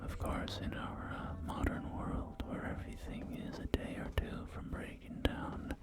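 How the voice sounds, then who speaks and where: A man playing a polite, helpful real estate agent in a post-apocalyptic ASMR roleplay, speaking softly and courteously with small hesitations. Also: close to an everyday voice. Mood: gentle, accommodating. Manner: soft-spoken, hesitant, unhurried, courteous. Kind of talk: ASMR roleplay, whispered sales tour. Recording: close whisper over clear background music. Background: music and game audio.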